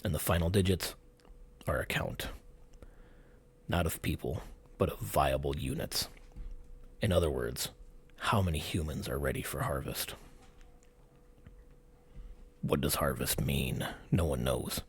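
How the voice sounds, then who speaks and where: An extremely deep-voiced man narrates a scripted mystery piece. His voice is heavily husky and gravelly, with a lot of breath in it. He delivers short dramatic phrases with suspense. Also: unhurried, long pauses. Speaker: channel host narrator.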